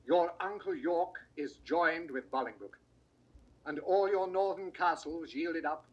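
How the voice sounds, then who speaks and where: An actor playing a solemn lord bearing grim news, speaking classical verse in a formal, measured manner. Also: male voice, fairly high pitch, muffled recording. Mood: heavy, ominous. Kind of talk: classical Shakespearean verse acting.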